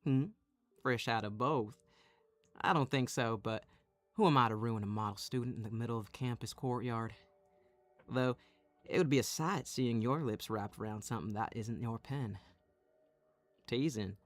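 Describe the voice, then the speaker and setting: A man's voice: mid-range voice, natural voice. Man acting a smug, teasing young playboy. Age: young adult. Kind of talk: audio roleplay acting.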